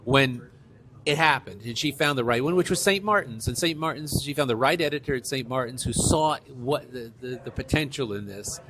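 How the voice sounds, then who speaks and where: A mature man speaks as an author guest in an interview, with a mid-pitched voice. He tells stories conversationally, quoting exchanges and repeating key phrases for emphasis. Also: moderate pace, background noise. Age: middle-aged or older. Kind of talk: conversational interview, anecdotal storytelling.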